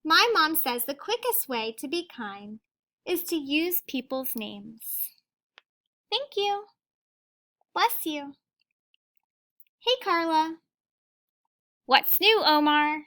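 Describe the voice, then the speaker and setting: High, bright woman reading slowly to children. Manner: expressive, deliberate storytelling, addressing young children. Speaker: teacher reading a picture book aloud to children. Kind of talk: children's story read-aloud with asides to listeners.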